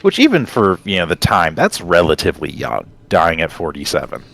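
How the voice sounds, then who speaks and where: Low-voiced man rambling on a podcast. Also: wildly swooping pitch, a little fast, gravelly, a bit bright. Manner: rambling, explanatory, digressive storytelling. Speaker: podcast co-host. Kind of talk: casual conversational podcast chat.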